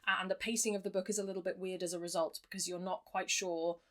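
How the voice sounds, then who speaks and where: A woman's voice: lowish voice, level tone, fast, fairly bright tone. A woman giving a casual, chatty review with wry understatement, speaking as a reviewer to her audience. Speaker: book reviewer. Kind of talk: casual scripted-free book review to camera.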